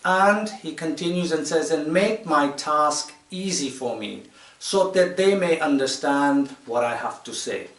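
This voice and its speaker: A man in his fifties from Tanzania, speaking to a group of children in a measured, explanatory way with pauses and fillers. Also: fairly high pitch, lively intonation, fairly bright tone. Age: 50s. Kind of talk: informal talk to children. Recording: echoey room, distant mic.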